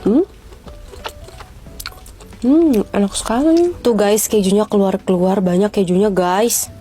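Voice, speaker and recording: A woman's voice: mid-range voice, measured pace, warm tone, soft background music. A woman giving a casual, chatty food review as she eats. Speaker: food reviewer in short social videos. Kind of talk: casual mukbang review chat.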